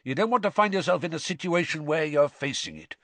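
A man's voice: fairly high pitch, animated, quick pace, a bit bright, no room sound, pitched above the performer's own voice. A man voicing a stern, intense old battle-scarred professor with a growl and an emphatic lecturing manner in an audiobook.

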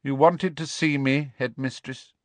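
A man voicing a cold, sardonic male professor who speaks smoothly and with contempt. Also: mid-range voice, fairly even intonation, unhurried, dry recording, voice pushed up, close to an everyday voice. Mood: cool.

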